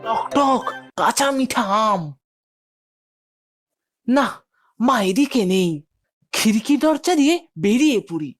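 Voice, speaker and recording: A woman's voice: fairly high pitch, animated, slow pace, long pauses. A woman voicing a mischievous, eager young schoolboy in a cartoon, with a whiny, wheedling way of talking. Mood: eager, scheming. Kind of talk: animated cartoon voice acting. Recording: music behind the voice.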